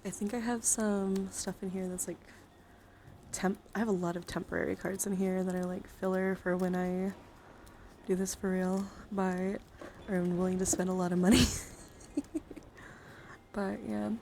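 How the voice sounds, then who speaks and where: Chatty woman streamer with gravelly fry. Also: medium pitch, level tone, moderate pace, long pauses, darkish tone, a little hiss or hum. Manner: chatty, rambling, swears casually. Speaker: livestream host. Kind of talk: casual livestream chat showing a card collection. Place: indoors, livestream with handheld camera.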